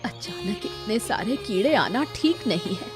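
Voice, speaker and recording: A woman voices an old village mother in a cartoon tale. She sounds worried and shrewd, lamenting and suspicious in a medium-pitched voice over music. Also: big pitch swings, a little slow. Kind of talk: Hindi moral-story cartoon dubbing.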